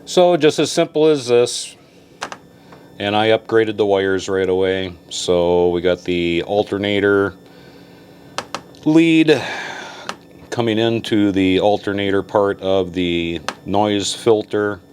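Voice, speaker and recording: Low-voiced man, slow folksy how-to talk. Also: a little mellow, slight background noise. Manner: folksy, plainspoken. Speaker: host of a car audio tutorial channel. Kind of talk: informal how-to walkthrough.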